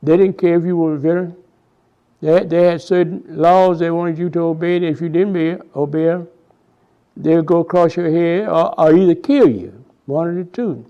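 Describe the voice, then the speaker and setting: A man in his nineties from Texas with a Southern accent, recalling his life in a halting oral history interview full of restarts and repeated words. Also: a little high, clean tone, highs cut off. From Houston, Texas. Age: 90s.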